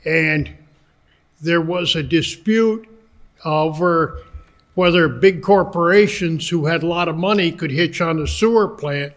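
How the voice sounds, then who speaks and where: Loud, slow-talking older man at a hearing. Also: fairly high pitch. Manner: plain-spoken, loud voice. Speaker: retired treatment plant worker. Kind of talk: public comment at a hearing. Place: town meeting hall.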